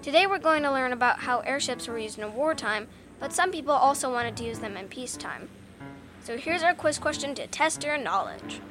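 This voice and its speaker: A woman's voice: sits high, animated, moderate pace, music in the background. A woman co-hosting a podcast, asking questions in an upbeat, scripted way. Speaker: podcast co-host.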